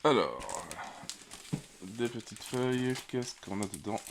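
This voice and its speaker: A man with a very deep voice talks his way through an unboxing, slow to find his words and full of fillers. A cold leaves his voice slightly congested. The recording carries clear background noise. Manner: relaxed, hesitant, many fillers. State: has a cold. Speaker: board game channel host. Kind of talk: casual unboxing commentary.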